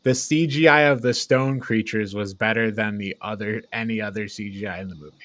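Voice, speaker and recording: A man's voice: on the low side, fairly even intonation, brisk, fairly bright tone. A man co-hosting a podcast, casually discussing a film. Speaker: podcast co-host. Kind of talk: casual film review chat.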